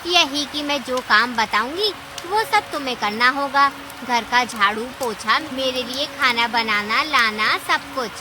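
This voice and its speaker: A woman's voice: high voice, unhurried, hardly any pauses. Woman voicing a bossy, scheming cartoon auntie. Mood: bossy, demanding. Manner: domineering, demanding. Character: Meenu Mausi, bossy, scheming, lazy older auntie animal. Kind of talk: Hindi cartoon story dialogue. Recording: clear rain and ambience noise.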